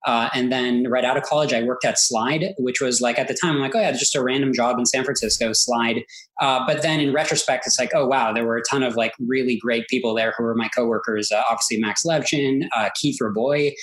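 A man's voice: mid-range voice, fairly bright tone, poor audio. An American man in his thirties from Silicon Valley, chatting casually as an interview guest, peppering his talk with filler words. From Silicon Valley, United States, born in Israel. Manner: talkative, peppers speech with "like" and "you know". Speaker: interview guest, tech entrepreneur. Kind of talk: casual chat.

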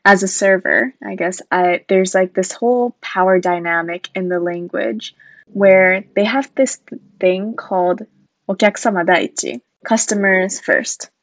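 A woman's voice: mid-range voice, fairly even intonation, measured pace, no room sound, highs cut off. Young woman, thoughtful and earnest. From Japan. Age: young adult. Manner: earnest, thoughtful, with frequent fillers. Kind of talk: casual conversation.

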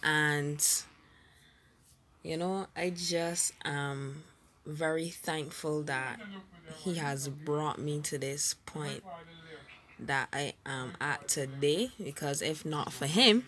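A woman's voice: low voice, slow pace, a bit bright. A woman with a Barbadian accent, chatting hesitantly with giggles and fillers about her faith as co-host. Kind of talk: casual faith chat.

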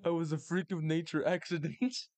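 A man's voice: fairly high pitch, fairly even intonation, normal speed, a little mellow, no echo. Wry man bantering on a podcast, dry sound. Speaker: podcast co-host. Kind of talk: casual podcast explainer with joking banter.

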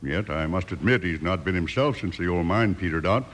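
An affable frontier townsman, with a lowish American voice that rises and falls freely. He is polite, folksy and obliging, in the style of old radio western acting. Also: expressive, brisk, fairly bright tone. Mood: concerned. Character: affable, folksy small-town frontier businessman.

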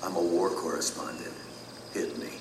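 An actor playing an eager, impatient war correspondent, talking informally and insistently in an audio drama. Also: male voice, low voice, level tone, normal speed, noisy background, natural voice. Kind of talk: conversational audio drama acting. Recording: echoey room, music underneath.

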